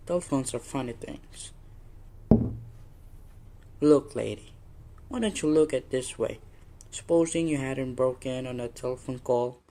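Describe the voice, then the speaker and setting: A man's voice: mid-range voice, dark tone, lightly acted. A man playing a weary, patronizing police desk officer brushing off a caller in a radio suspense play. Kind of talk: old-time radio suspense play acting.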